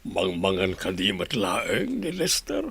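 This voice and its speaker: A man with an Ilocano Filipino accent playing a gruff, irritable but caring old grandfather in a radio comedy-drama. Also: low-pitched, huge pitch swings, slow pace, a bit bright, full character voice. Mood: concerned. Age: older.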